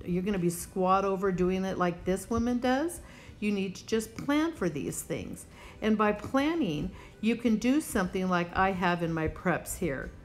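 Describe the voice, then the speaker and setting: A woman's voice: medium pitch, animated, unhurried, soft background music, poor audio. A woman hosting a preparedness vlog, talking casually and instructively, plainspoken and speaking straight to the viewer. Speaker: host of a prepping channel.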